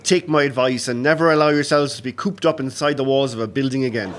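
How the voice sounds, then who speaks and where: A man's voice: mid-range voice, fast, a bit bright, lightly acted. An actor with an Irish accent playing a resigned, dutiful rebel officer in an audio play, giving plain, orderly advice to his men. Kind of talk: historical audio drama acting. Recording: faint noise, crowd ambience behind.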